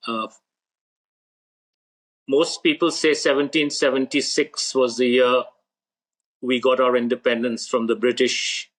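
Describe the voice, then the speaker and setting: Measured man giving blunt pronouncements. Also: mid-range voice, fairly bright tone, rough recording. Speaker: podcast guest. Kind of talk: interview answers.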